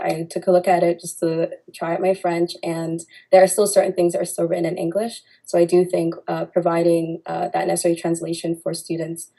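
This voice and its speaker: A woman's voice: lowish voice, warm tone, slightly rough. A young woman answering as a candidate in a student debate, hesitant and full of fillers. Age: young adult.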